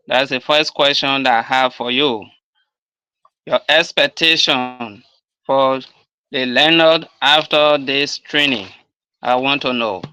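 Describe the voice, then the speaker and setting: A man with a piercingly bright, very crisp voice speaks at a very slow pace. He is polite and formal, putting his question in halting phrases as a participant. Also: medium pitch, dry recording, rough recording. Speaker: training participant asking a question. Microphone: video call microphone.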